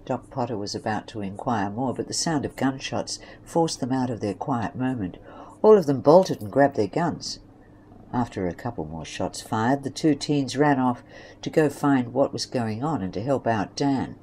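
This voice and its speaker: A woman's voice: very deep voice, expressive, moderate pace, warm tone, a little hiss or hum. A woman reading scripted narration for an audio drama story.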